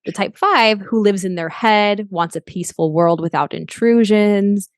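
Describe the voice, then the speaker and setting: A woman's voice: medium pitch, unhurried, no room sound, studio quality. A young adult woman, a life coach as a podcast guest, talking earnestly and reflectively with frequent restarts. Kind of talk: podcast interview.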